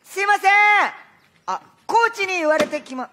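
An actor in a stage play playing a short, eager, excitable teenage boy volleyball player who speaks earnestly and in exclamations. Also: very high voice, moderate pace, slight background noise, full character voice. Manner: earnest, exclaiming, blunt then hastily polite. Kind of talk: theatrical stage play acting. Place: theatre stage.

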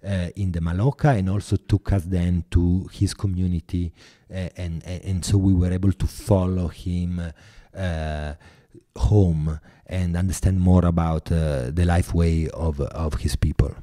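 A man in his forties with an Italian accent, speaking as a podcast guest in a thoughtful way, pausing often and restarting phrases. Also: low-pitched, steady tone, warm tone, dry recording, studio quality. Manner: thoughtful, many hesitations and restarts. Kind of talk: podcast interview.

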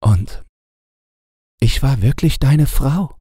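A tender young wife from a storybook, put on by a male narrator, speaking softly and affectionately. The voice is lifted well up, breathy and husky, with a dark, gentle color. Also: medium pitch, animated, slow, no echo, studio quality, voice pushed up. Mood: astonished. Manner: soft, affectionate, pleading. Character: tender, relieved young wife in a fairy tale.

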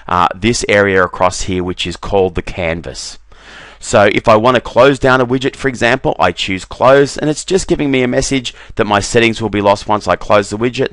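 A man talking through a software demo over a screen recording, relaxed, with frequent "uh" fillers and doubled words. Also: lowish voice, no room sound. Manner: relaxed, repeats "very, very" and fills with "uh". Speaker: software demonstrator.

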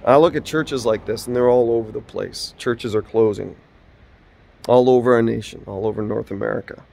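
A man's voice: fairly even intonation, moderate pace, creaky, a little mellow. A man in his forties giving an earnest, informal sermon, filmed on a phone held at arm's length inside an empty old church. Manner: earnest, preaching, rises and falls in pitch. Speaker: preacher.

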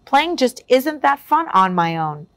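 A woman's voice: high voice, big pitch swings, unhurried, sharp tone, pitched above the performer's own voice, heavily acted. A woman voicing a friendly, cheerful young male dog in a storybook, eager and sweet. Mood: glum.

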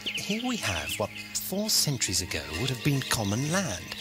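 An actor playing a curious, observant time and space traveller, musing whimsically in a sci-fi audio drama. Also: male voice, medium pitch, wildly swooping pitch, moderate pace, loud music behind the voice. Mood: musing, puzzled.

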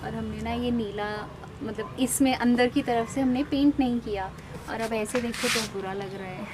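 A woman's voice: a little high, steady tone, normal speed. A young woman hosting a casual vlog, talking to the camera held at arm's length on an open rooftop terrace, explaining things to her viewers. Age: young adult. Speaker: vlog host. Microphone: handheld camera at arm's length. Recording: noisy outdoor ambience.

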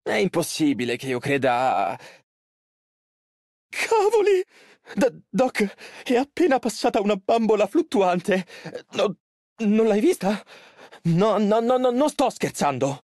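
A man's voice: sits high, big pitch swings, measured pace, darkish tone, no room sound, studio quality, full character voice. An actor playing a polite, earnest and easily flustered young male tailor in an Italian game dub. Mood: startled, excited. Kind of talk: video game character voice lines, Italian dub.

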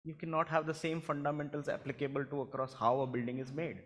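A man's voice: on the high side, flat delivery, quick pace, fairly bright tone. A man on a conference panel, making his point emphatically and often repeating words as he starts. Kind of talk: panel discussion. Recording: long reverb of a conference hall.

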